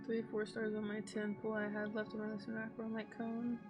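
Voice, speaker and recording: A woman's voice: fairly high pitch, completely flat delivery, normal speed. A woman chatting casually and bluntly as she reacts to a video game, with slang and swearing. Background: game music and dialogue.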